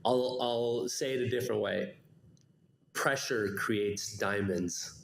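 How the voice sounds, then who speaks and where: A man's voice: on the low side, fairly even intonation, takes it slowly, vocal fry, reverberant, a little hiss or hum. A man born in Afghanistan, a company founder answering interview questions in an easygoing way, fond of catchy sayings. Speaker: startup CEO guest.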